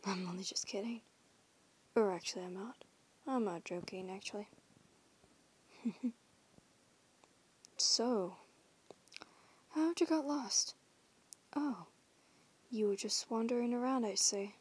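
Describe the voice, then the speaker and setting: A woman's voice: mid-range voice, slow, pauses often, darkish tone. A woman voicing a teasing young female werewolf in an audio roleplay, whispering huskily and swearing casually. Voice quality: whispery, husky.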